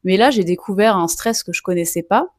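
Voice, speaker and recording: A woman's voice: medium pitch, fairly quick, a little mellow, no echo, studio quality. A young woman, a mezzo-soprano student, telling a personal story to camera in a chatty way with lots of asides and fillers. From Sicilian heritage.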